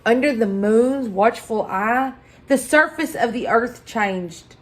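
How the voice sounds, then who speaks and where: A woman reading a children's picture book aloud as a story-time host, deliberate and expressive, pausing for effect. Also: fairly high pitch. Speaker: library story-time reader.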